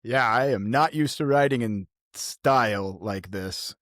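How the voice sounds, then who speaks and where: A man's voice: medium pitch, a little slow, a bit bright, no echo, studio quality. A man playing a tabletop role-playing game, reacting and speaking casually for his character.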